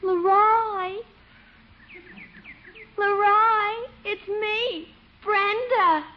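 A woman's voice: very high-pitched, fairly even intonation, extremely slow, full character voice. A woman playing a melodramatic, lovestruck little schoolgirl in an old radio comedy. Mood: pleading. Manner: gushing, dramatic. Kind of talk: radio sitcom acting. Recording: muffled, faintly noisy old radio recording.

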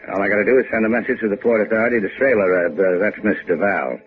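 An American actor playing a cool, wisecracking adventurer in a 1950s radio drama, with a sardonic, slangy delivery. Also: male voice, on the low side, steady tone, quick pace, close to an everyday voice. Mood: casual scheming. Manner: sardonic, casual drawl with tough-guy slang. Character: wry, cool, wisecracking boat-owning hotel keeper adventurer. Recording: muffled, phone-like old radio recording.

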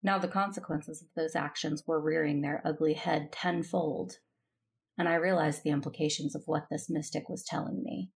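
A woman's voice: low voice, steady tone, normal speed, gravelly. A woman playing a determined, earnest captive Jedi, narrating and speaking in an audio drama. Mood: grim, reflective. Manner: introspective, earnest first-person narrating.

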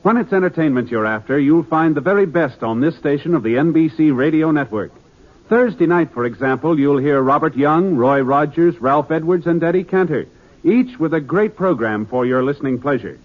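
A man's voice: a little high, expressive, normal speed. A man with an American accent giving a polished, promotional scripted announcement in 1950s radio style. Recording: tinny narrowband 1950s radio recording.

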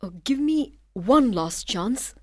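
Frightened man, hushed and pleading, voiced by a woman. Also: a little high, expressive, takes it slowly, dry recording, clean studio sound.

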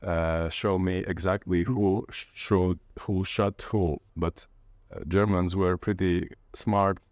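Man, Latvian accent, native Latvian speaker, deep voice, unhurried, halting, reflective interviewee. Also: fairly even intonation, a little mellow, no room sound, clean studio sound. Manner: halting, searching for words. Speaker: interviewee, literature teacher and playwright.

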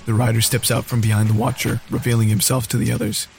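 A man's voice: medium pitch, level tone, fast talker, creaky, darkish tone, a little hiss or hum. A man narrating a scripted horror western audio drama.

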